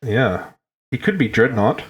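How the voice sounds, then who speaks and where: A man's voice: mid-range voice, unhurried, breathy edge. A man with a Finnish accent who grew up in Hong Kong, a guest on a podcast chatting casually and joking, often restarting his words. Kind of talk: casual chat.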